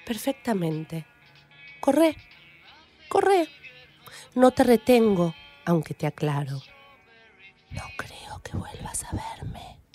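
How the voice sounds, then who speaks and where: A woman's voice: mid-range voice, big pitch swings, slow, long pauses, warm tone, soft background music. A woman with an Argentine accent playing a coy, flirtatious, enticing neighbour in a radio play. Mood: hurt, teasing.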